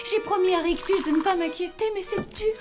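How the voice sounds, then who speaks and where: A woman voicing an anxious, gentle zombie mother in an animated cartoon dub. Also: extremely high pitch, a little fast. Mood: worried, pleading. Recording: dialogue over loud music.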